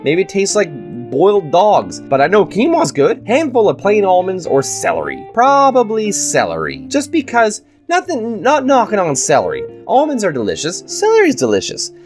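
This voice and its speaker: This is a YouTuber speaking through a congested, stuffed-up nose. The host reads quiz questions and answers them in a chatty, opinionated way, with a lively, swooping pitch. A faint plucked guitar tune plays softly underneath. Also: normal speed, few pauses. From Canada. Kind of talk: casual chat, reading quiz questions aloud and commenting.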